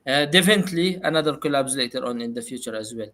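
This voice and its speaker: Arabic-accented male host, easygoing and hesitant, home setup, mid pitch. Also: lively intonation, fast talker, fairly bright tone. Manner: loose, hesitant, with many fillers. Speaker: show host. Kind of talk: casual livestream chat. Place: room at home.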